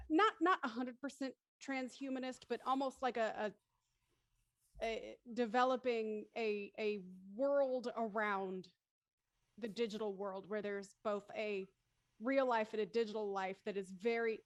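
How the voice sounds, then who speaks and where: A woman hosting a live-stream panel discussion, feeling her way through questions with halting, circling phrasing. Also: medium pitch. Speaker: host, moderator.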